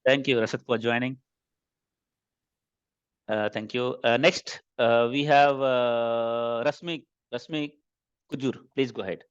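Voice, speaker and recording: A man's voice: medium pitch, steady tone, slow pace, long pauses, smooth, dry recording. A man hosting an online class as instructor, informally moderating introductions over a video call with dull, dry sound.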